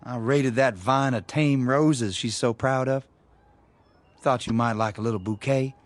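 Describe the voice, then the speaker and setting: A man's voice: mid-range voice, steady tone, unhurried, no echo, lightly acted. A man playing a folksy, easily wounded young suitor with a rural American accent in a radio drama. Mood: courting. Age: young adult.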